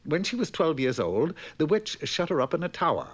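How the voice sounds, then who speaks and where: A man's voice: a little high, sing-song, fairly quick, husky. A man narrating a comic fairy tale with droll, theatrical storytelling. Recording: muffled, lo-fi cartoon soundtrack.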